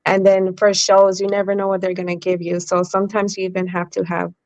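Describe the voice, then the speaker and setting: A woman's voice: medium pitch, completely flat delivery, a little fast, a little mellow, no echo, highs cut off. A woman co-hosting a podcast, talking in a chatty way with fillers like "um" and "like". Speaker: podcast co-host. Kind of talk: casual podcast chat.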